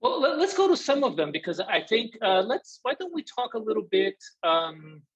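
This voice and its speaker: A man moderating an academic discussion off the cuff, often pausing and searching for his words. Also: high-pitched, sing-song, slightly rough, dull audio.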